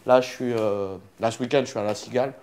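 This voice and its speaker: A young man speaking at a mid pitch in a loose, casual conversation. He picks his words carefully and builds his points step by step. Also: fairly even intonation, fast talker, darkish tone. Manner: thoughtful, weighing his words. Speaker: comedian guest.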